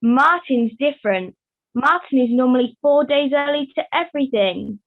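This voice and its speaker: Puppet show role: gleeful gossip by a female performer, high, playful, tinny phone-like line. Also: animated, moderate pace. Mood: teasing, gleeful. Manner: teasing, playful, conspiratorial. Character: teasing, gleeful gossip puppet, relaxed about time. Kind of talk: online puppet show acting. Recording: thin, phone-like video call.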